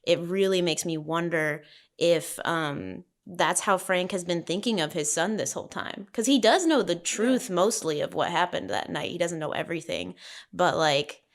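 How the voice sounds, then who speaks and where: Female panelist, slightly low register, casual, thoughtful and halting delivery. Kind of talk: casual script discussion.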